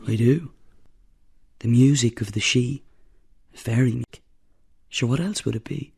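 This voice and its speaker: A man playing an eager, gravelly Irish fan of the pipes. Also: mid-range voice, unhurried, darkish tone, full character voice. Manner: eager and wheedling, dreamy when describing the music. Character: Irish pipe fan, eager and a bit boozy.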